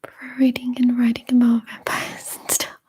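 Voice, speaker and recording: A young woman whispering softly in a breathy voice, a native Russian speaker chatting unhurriedly as she answers viewers' questions in an ASMR stream. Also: fairly high pitch, completely flat delivery. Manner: soft, unhurried, chatty, laughs often.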